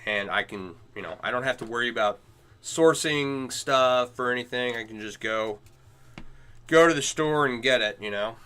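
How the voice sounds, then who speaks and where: A man's voice: medium pitch, normal speed, sharp tone, smooth. A middle-aged man chatting casually on a livestream while he works on chainmail, greeting and answering his viewers. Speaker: chainmail maker streaming live.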